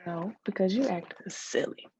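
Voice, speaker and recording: A woman's voice: mid-range voice, lively intonation, normal speed, gravelly, warm tone, slight background noise, rough recording. A woman voicing a blunt, impatient girl, an imaginary friend who gives curt, scolding replies in a literary read-aloud.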